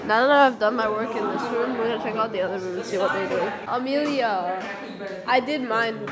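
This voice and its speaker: A woman's voice: fairly high pitch, a little fast. A teenage girl vlogging her school day on a handheld phone, chatty and playful. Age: teens. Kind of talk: casual school-day vlog. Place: busy school cafeteria and classrooms. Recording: loud crowd chatter and noise.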